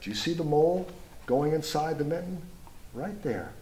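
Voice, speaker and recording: A man reads a children's picture book aloud in an expressive storytime style, pausing to point things out and ask young listeners questions. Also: on the high side, very noisy. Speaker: storybook reader, school superintendent.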